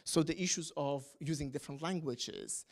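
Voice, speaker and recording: A middle-aged man talks through an academic point in English. His voice sits a little high for a man, and he pauses often, restarting phrases and filling gaps with "uh" and "um". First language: Polish. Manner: hesitant, many fillers and restarts. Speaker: academic panelist. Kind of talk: academic panel talk.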